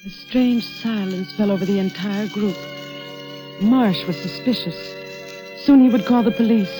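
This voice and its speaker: An actress playing a polite, uneasy hostess in earnest 1940s radio drama style. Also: female voice, mid-range voice, unhurried, lightly acted, low-quality recording. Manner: placating, earnest. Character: polite, uneasy hostess narrating a suspense tale. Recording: old radio drama, loud music behind.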